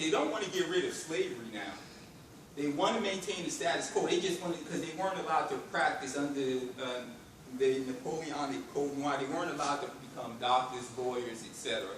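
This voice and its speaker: A man from Haiti giving an academic conference talk, halting with many filler words and rising to emphatic points. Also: on the high side. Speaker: conference presenter. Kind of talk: academic lecture. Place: university conference room. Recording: very echoey room from far off, background noise.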